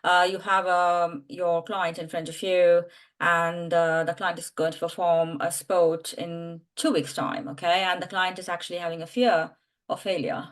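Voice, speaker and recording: A woman's voice: on the low side, little pitch movement, moderate pace. A woman hosting a podcast, asking long, searching questions with many fillers. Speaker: podcast host. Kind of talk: interview questions.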